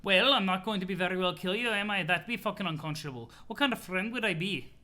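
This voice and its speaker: Man putting on a haughty, ranting Scottish-accented troll, bright and high. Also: quick pace. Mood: melodramatic. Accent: put-on Scottish. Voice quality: silly put-on accent. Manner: pompous, indignant, ranting. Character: haughty, foul-mouthed, megalomaniacal young male troll sea dweller. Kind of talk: comic read-aloud of a webcomic.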